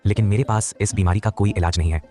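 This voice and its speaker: A man playing a stern, blunt village healer in a cartoon, grave and reproachful, with a husky voice. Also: lowish voice, steady tone, fast talker, warm tone, faint music behind, close to an everyday voice. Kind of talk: cartoon moral-story dialogue.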